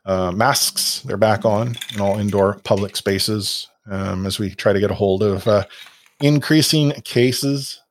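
Rambling podcast host, low voice. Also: male voice, measured pace, a little hiss or hum. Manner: rambling, self-deprecating, many fillers and restarts. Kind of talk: casual podcast chat.

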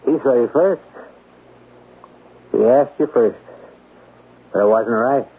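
A man's voice: medium pitch, takes it slowly, slightly rough. An actor playing a drunk, obsessive male talent manager in an old radio drama, pleading and intense. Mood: fervent. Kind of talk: 1940s radio acting. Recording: tinny old radio broadcast.

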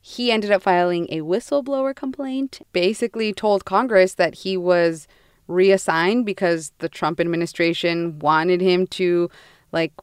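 Chatty woman radio host, smooth voice. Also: lowish voice, no room sound, studio quality. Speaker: podcast host. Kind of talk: casual radio news chat.

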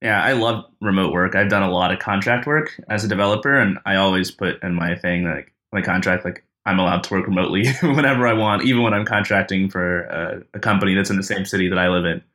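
A man hosting an interview, asking questions in a relaxed, conversational way. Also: low-pitched, fast talker, hardly any pauses. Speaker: podcast host. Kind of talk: casual interview.

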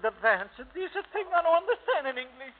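An actor playing a humble, puzzled Italian immigrant junk peddler with halting, accented broken English in a radio drama. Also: male voice, very high-pitched, sing-song. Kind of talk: 1950s radio drama acting. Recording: narrow, tinny old radio broadcast.

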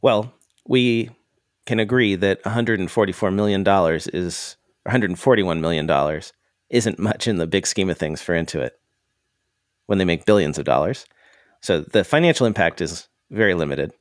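A man co-hosting an accounting podcast in casual chat, explaining the news in a measured way while a bit stuffed up. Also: low-pitched, no echo, clean studio sound. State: stuffed up from a cold. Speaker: podcast co-host.

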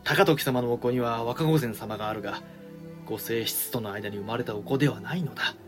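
A man's voice: medium pitch, a little fast, lightly acted. A grave samurai statesman played by a man in a period audio drama. He speaks formally and with measure in a mid-range voice, wary and weighed down by office. Faint music runs underneath. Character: grave samurai regent, wary, burdened, protective brother. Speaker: voice actor. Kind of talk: Japanese historical audio drama acting.

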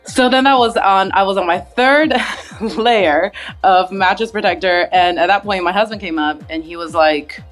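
A young mother telling a personal anecdote as a guest, in an animated storytelling manner. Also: mid-range voice, moderate pace, soft background music. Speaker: podcast guest.

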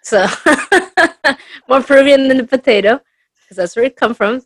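A woman's voice: high voice, lively intonation, a little slow, no echo, clean studio sound. A woman with Spanish as her first language, from Peru, chatting over a video call as an interview guest and telling stories with lots of fillers. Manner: chatty storyteller, many fillers. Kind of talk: casual interview answers.

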